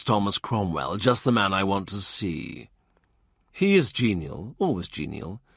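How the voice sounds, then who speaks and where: Male audiobook narrator, low voice, unhurried literary read, close dry mic. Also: fairly bright tone, no room sound. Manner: measured, dry reading with quoted dialogue.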